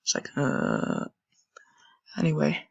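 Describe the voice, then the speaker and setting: A young man with a raspy voice, casually commenting and thinking aloud while browsing old web pages on a screen recording. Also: on the high side, huge pitch swings, takes it slowly, no echo, in a whisper. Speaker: channel host. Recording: dull lo-fi dry recording.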